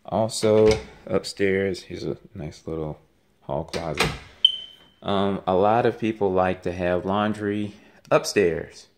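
A man gives a casual walking tour of an empty house as a realtor, talking haltingly with many ums and uhs, filmed on a handheld phone. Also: low-pitched, very noisy. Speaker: realtor giving a home tour. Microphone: handheld phone camera. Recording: echoey empty rooms.

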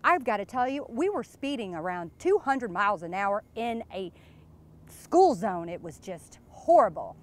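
A woman's voice: mid-range voice, big pitch swings, normal speed, lightly acted. An American woman, probably in her thirties, playing a flirty, giggly, boastful glamorous wife in an improvised, gushing mock interview. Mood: dramatic. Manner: coy, gushing, suggestive, giggly. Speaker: interviewee in character. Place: outdoors beside a lake.

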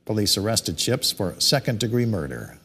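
A man reading local news stories as a television studio anchor, in a steady, measured delivery. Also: on the low side, husky.

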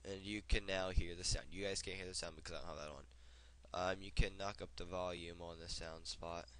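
A young man with a head cold, hosting a casual software tutorial and rambling with fillers as he clicks along at his desk. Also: low voice, little pitch movement, brisk, smooth. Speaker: tutorial host. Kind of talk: casual screen-recorded tutorial.

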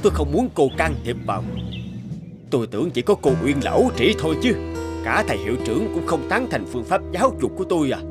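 A man voicing a stern, proud, mustached schoolteacher in an animated story, talking in a firm, lecturing way. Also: fairly high pitch, fast talker. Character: stern mustached male teacher, competitive, proud. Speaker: teacher character. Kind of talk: animated fairy-tale dubbing. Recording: music bed behind voice.

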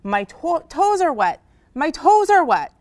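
A woman's voice: a little high, sing-song, slow pace, no room sound. A woman voicing a tiny, determined, stubborn gecko in a children's story, eager and emphatic. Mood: excited.

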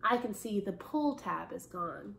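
A woman's voice: medium pitch, expressive, measured pace, creaky, fairly bright tone, a little hiss or hum. A woman voicing a kindly, easygoing male school custodian in a storybook reading. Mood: calm, kindly. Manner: easygoing, reassuring.

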